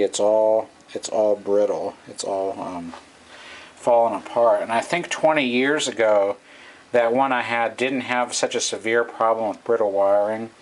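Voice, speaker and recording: A middle-aged man giving a casual, off-the-cuff hobbyist walkthrough, hesitant and thinking aloud, recorded on a camera's own microphone. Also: fairly low pitch. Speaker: hobbyist vintage radio restorer. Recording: noisy amateur camcorder recording.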